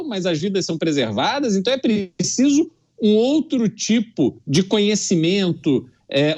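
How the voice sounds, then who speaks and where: A Brazilian man giving opinion commentary on a news debate show, with emphatic, deliberate phrasing. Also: sits high, a little mellow. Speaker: political commentator.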